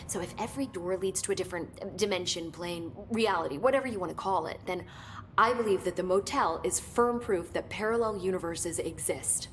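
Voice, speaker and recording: An eager young scientist from a video game, acted by a woman, American and on the low side for a female voice. She explains things in a chatty, excitable way. A faint rumble sits underneath. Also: fairly quick, close to an everyday voice. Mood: earnest, intrigued. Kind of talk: video game dialogue acting.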